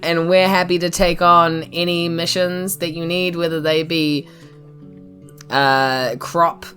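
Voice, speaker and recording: Kiwi woman player, deep voice, cheeky and pushy. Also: fairly even intonation, a bit bright, faint music behind. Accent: New Zealand. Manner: joking, pushy, quick quips. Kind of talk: casual tabletop play.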